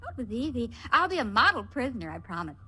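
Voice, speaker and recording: A woman's voice: on the high side, sing-song, fast, pushed and bright. An actress playing a naive, trusting young woman stowaway in a 1950s radio drama, with a guileless, girlish manner. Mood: curious, innocent. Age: young adult. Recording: faintly noisy remastered vintage radio broadcast.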